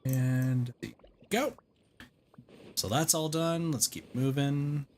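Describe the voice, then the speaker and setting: A man's voice: mid-range voice, slow, darkish tone, a little hiss or hum. A man giving casual livestream commentary on a game, muttering to himself and swearing mildly. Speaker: game streamer.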